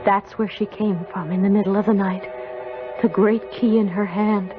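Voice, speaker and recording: A woman's voice: medium pitch, steady tone, normal speed, over music, lightly acted. An actress playing an earnest, guilt-ridden young servant girl in old-time radio drama, with a sensitive, dramatic delivery. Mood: haunted, solemn. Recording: muffled old radio broadcast.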